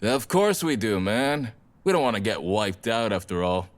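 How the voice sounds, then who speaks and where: A man's voice: on the low side, big pitch swings, normal speed. A man playing a laid-back, blunt rebel vampire in an audio drama, talking in casual slang. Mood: assured.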